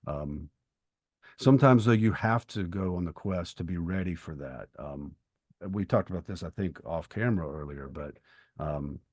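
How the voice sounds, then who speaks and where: A man co-hosting a podcast, speaking reflectively and earnestly, with thoughtful, halting phrasing. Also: deep voice, lively intonation, darkish tone, no room sound, clean studio sound. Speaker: podcast co-host. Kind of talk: reflective literary discussion and prayer.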